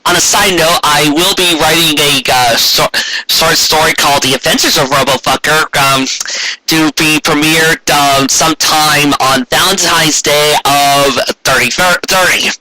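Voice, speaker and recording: Bright, forceful male story narrator. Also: a little high, fairly even intonation, a little slow, rough recording. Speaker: narrator reading a fan story aloud. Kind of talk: expressive scripted narration with occasional asides.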